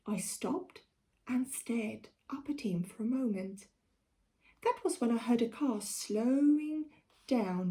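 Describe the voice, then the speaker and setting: A South African woman reading a storybook aloud for children like a schoolteacher, with deliberate, expressive delivery. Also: on the high side. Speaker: primary school teacher.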